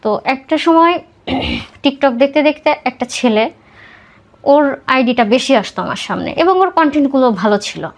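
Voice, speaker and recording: A woman's voice: high voice, animated, measured pace. A young woman with a Bangladeshi accent tells her personal story conversationally in an interview, mixing in English words. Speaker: podcast guest telling own life story.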